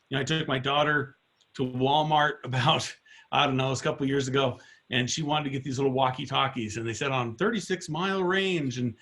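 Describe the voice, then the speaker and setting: A middle-aged man with a slightly hoarse voice leading an online product training, informal and rambling with many fillers and restarts. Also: mid-range voice, fairly bright tone.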